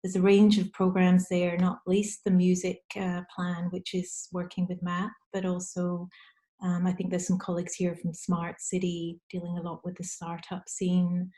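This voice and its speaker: A woman moderating an online panel, speaking in a measured way with pauses mid-phrase. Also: fairly low pitch, monotone, warm tone. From Scotland or Denmark by descent, living in Australia. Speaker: panel moderator, arts manager. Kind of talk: event introduction and moderation.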